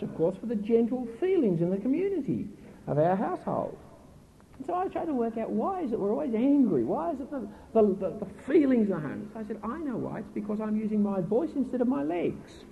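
A middle-aged man preaching a sermon in an earnest, emphatic way with a naturally loud voice, asking his listeners pointed questions. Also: very high-pitched, creaky. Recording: tinny phone-like recording with faint noise.

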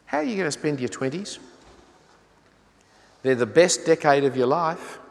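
A man's voice: on the high side, lively intonation, moderate pace, vocal fry. Earnest older man preaching. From Australia. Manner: earnest, exhorting, asks rhetorical questions. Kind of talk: sermon. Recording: long hall reverb.

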